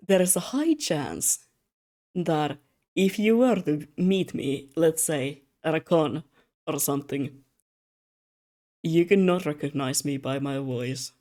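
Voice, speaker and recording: A young adult streamer chats loosely on a streaming mic while drawing commissions. The voice sits at a middle pitch and sounds tired, with words slurring a little. The talk rambles and turns self-mocking, broken by frequent laughs. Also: darkish tone. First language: Russian. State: tired, slightly slurred speech. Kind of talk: casual live-stream chat.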